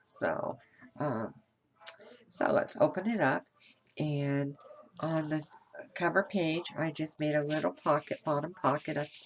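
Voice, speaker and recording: Low-voiced woman with a cold. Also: slow pace, pauses often, slight background noise. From Kentucky, USA. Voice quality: nasal. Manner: chatty, rambling, many fillers and restarts. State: has a head cold. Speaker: craft video host. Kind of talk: casual craft tutorial.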